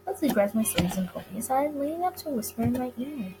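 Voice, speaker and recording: Female game reader, slightly high voice, narrating a story with small stumbles, faint background noise. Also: lively intonation, fast. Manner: stumbles over words and rereads them. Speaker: let's-play reader of a visual novel. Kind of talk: reading a visual novel aloud, narration and character lines.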